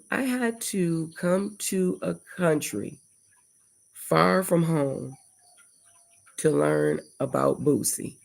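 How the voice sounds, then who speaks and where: Older american woman, livestream host, gravelly fry, unhurried rambling talk. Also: lowish voice, darkish tone. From United States. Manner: rambling, reflective, lists things in long runs. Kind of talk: casual livestream monologue. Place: indoors at home before dawn.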